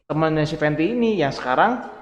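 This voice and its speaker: A man's voice: a little high, expressive, fast, reverberant, in a large hall, a crowd in the background. A man casually commenting over gameplay, chatty, often calling out to viewers. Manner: chatty, addresses viewers as guys. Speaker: gaming video host. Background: game music.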